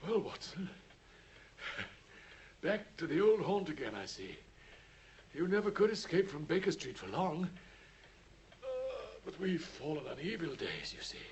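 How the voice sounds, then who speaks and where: Sick posh english detective, bossy and theatrical, high swooping voice, hissy old radio drama. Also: male voice, big pitch swings, measured pace, long pauses, a little mellow. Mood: weary, wry. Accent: upper-class English. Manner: commanding, theatrical, weakened. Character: gravely ill, imperious Victorian English gentleman detective. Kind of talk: 1950s radio drama acting. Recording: faintly noisy 1950s radio recording.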